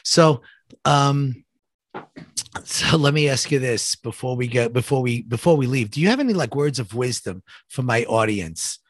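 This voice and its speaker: A man hosting a conversational interview, effusive and halting as he rephrases his questions. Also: mid-range voice, animated, no room sound. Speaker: show host.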